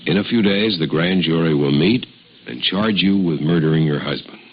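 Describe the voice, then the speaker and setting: An actor playing a stern, pressing deputy district attorney in a radio drama, speaking formally and forcefully with deliberate phrasing. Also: male voice, sits low, breathy edge. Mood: stern, insistent. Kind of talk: 1950s radio drama acting. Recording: muffled, noisy old radio recording.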